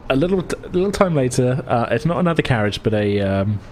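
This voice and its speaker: A man's voice: mid-range voice, big pitch swings, fast, a little mellow, slight background noise. A man acting as game master, improvising descriptive narration for a tabletop game in a hesitant, searching way.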